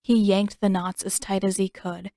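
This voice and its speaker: A woman narrates a scripted adventure story in a medium-pitched voice. The recording is dry and close, like an audiobook. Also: level tone, normal speed, dark tone, no room sound.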